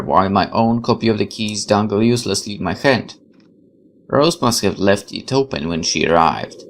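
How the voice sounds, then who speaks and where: A man with a fairly deep voice reads visual novel text aloud as a let's player. His delivery is highly animated and dramatic, mixed with musing commentary. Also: a little hiss or hum.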